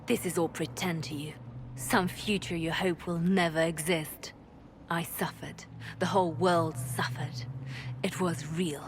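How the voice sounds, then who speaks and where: A woman's voice: on the low side, fairly even intonation, measured pace, background noise, close to an everyday voice. A woman playing a hardened, bitter spymaster in a fantasy game, curt, cold and defiant after torture. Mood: bitter anger. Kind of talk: dramatic fantasy game voice acting.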